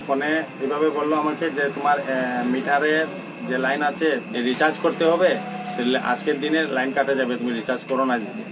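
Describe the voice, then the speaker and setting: A man with a Barak Valley Bengali accent giving an informal, halting interview account, repeating himself and mixing in English words. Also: medium pitch, steady tone. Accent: Bengali of Assam's Barak Valley. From Barak Valley, Assam. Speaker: interviewee recounting an incident. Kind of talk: informal spoken account to a reporter. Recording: distant mic, noisy ambience with birds.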